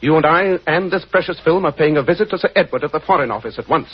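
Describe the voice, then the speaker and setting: An actor playing a shrewd, commanding English gentleman detective in a 1940s radio drama, speaking precisely and with authority. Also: a little high, lively intonation, quick pace, lightly acted. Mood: brisk, grave. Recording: dull, noisy 1940s radio recording.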